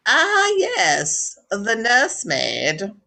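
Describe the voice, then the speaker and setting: A woman's voice: mid-range voice, huge pitch swings, extremely slow, creaky, bright, forceful tone, full character voice. A woman playing an imperious, ailing elderly baroness in a radio drama, speaking in a grand, commanding, formal way.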